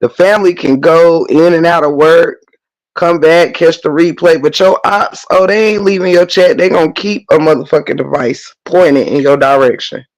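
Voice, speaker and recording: Hoarse guy on his livestream, kinda high voice, stuffy nose, cussing and repeating himself, reading chat. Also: normal speed, poor audio. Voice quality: profane, emphatic ranting with repetition. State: hoarse, losing voice; congested. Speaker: livestream host.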